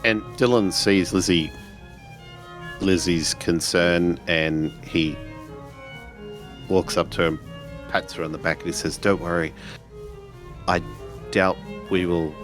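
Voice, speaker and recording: Man, very deep voice, tabletop roleplay player, in-character lines and narration, music behind. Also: steady tone, slow, long pauses.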